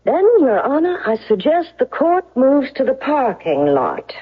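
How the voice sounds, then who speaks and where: A woman's voice: high-pitched, big pitch swings, a little slow, slightly rough, exaggerated voice. A woman playing a commanding, relentless defense lawyer in a 1970s radio courtroom drama, speaking in an emphatic, accusatory way. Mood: confident, pressing. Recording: dull, lo-fi old radio recording.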